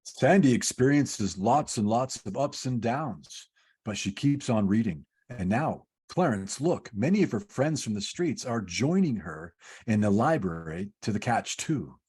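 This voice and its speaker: A man playing a calm, patient senior angel who explains things in the scripted style of an old-time radio play. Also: lowish voice, measured pace, breathy edge. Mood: reassuring. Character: senior male angel Joseph, calm, patient, instructive overseer.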